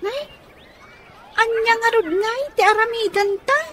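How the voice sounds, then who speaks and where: A woman's voice: very high voice, unhurried, a bit bright. A woman playing a naive, anxious little girl in a radio drama, with a questioning, childlike delivery. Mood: puzzled.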